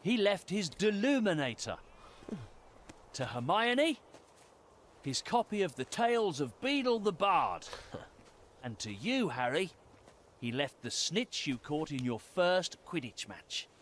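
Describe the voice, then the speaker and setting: A man's voice: a little high, sing-song, takes it slowly, long pauses, slight background noise. A man playing a kindly, hesitant middle-aged wizard father in a video game. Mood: gentle, apologetic.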